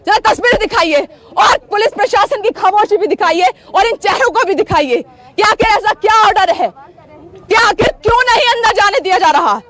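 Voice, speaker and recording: A woman's voice: very high-pitched, a bit bright. A woman TV field reporter doing confrontational live reporting, insistent and indignant, repeating pointed questions and demands with heavy emphasis. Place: outdoors at a police roadblock.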